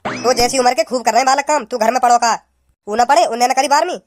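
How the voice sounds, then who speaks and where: A man voicing a blunt, exasperated village father in a comic cartoon, with a western Uttar Pradesh accent and a pitched-up voice. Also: quick pace, a little hiss or hum. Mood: nagging. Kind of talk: comic cartoon dialogue in rustic dialect.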